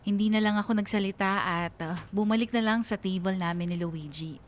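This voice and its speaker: A woman's voice: mid-range voice, fairly quick, a little hiss or hum, close to an everyday voice. An actress playing a young college woman narrating her love story in a sentimental, conversational Filipino radio drama. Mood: irritated.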